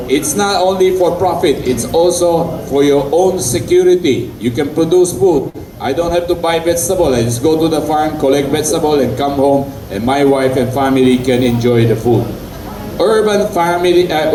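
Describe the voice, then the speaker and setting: An older man with a Filipino accent gives an earnest, emphatic impromptu public speech, repeating key phrases as a forum guest. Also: a little high. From Philippines. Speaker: business federation leader speaking as a forum guest. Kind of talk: impromptu public speech mixing English and Tagalog. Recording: echoey room, distant mic, loud background noise.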